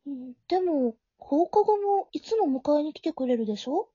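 A woman playing a gentle, naive young woman, a doting childhood friend, in an amateur romantic audio drama, with a soft, sweet manner. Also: sits high, lively intonation, normal speed, no echo. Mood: gentle, casual. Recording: dull, lo-fi home recording.

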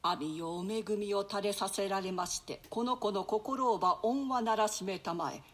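A man's voice: sits high, moderate pace, a bit bright. A man playing an elderly royal mother in a classical stage tragedy, speaking in archaic, declamatory phrases and full of grief. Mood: solemn. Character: grieving elderly royal mother, proud and lamenting. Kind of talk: theatrical classical Japanese play reading.

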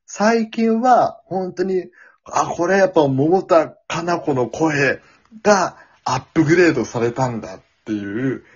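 A man's voice: fairly high pitch, lively intonation, a little slow, slightly rough, lo-fi sound. A man co-hosting a podcast in casual, agreeable chat, readily affirming what his partner says. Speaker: podcast co-host.

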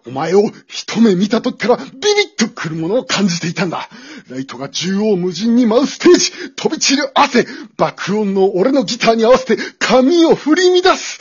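A pushy, fervent male teacher acted out by an amateur performer in a comic radio play. The voice is husky and leaps dramatically from murmurs to big cries. The sound is slightly dull and lo-fi. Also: mid-range voice, sing-song, moderate pace, hardly any pauses. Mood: fervent, bombastic. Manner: melodramatic, impassioned, swinging from hushed to shouting. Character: passionate, pushy male high school teacher obsessed with visual-kei.

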